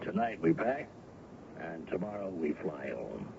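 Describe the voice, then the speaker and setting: Casting: indulgent middle-aged father for a radio play, bottomless gravelly voice, offhand and practical. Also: rumbling low, very animated, a little slow, music in the background, full character voice. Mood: easygoing, indulgent. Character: heroine's easygoing father, practical and affectionate. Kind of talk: old-time radio drama acting. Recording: dull, noisy vintage radio recording.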